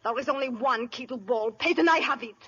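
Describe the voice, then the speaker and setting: A dull old radio recording holds a menacing lady, piercing and slightly husky. She speaks in formal, clipped, commanding phrases like a melodrama villainess. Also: on the high side, brisk, pushed and bright. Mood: imperious, threatening. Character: imperious, menacing young woman with a gun. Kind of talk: 1930s-40s radio melodrama acting. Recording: muffled old radio broadcast.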